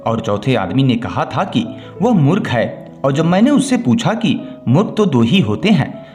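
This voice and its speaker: A man's voice: medium pitch, sing-song, normal speed, darkish tone, natural voice. A man voicing a clever, dutiful young daughter-in-law in a folk tale, explaining herself politely and with assurance. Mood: confident, explaining. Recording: music bed under the voice.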